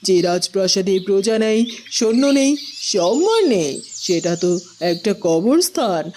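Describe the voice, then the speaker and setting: A woman's voice: mid-range voice, big pitch swings, normal speed, darkish tone, slight background noise, natural voice. A woman playing a proud queen sunk in defeat and shame, with a dramatic, bitter delivery in a cartoon. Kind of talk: melodramatic cartoon dialogue. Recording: faint music behind.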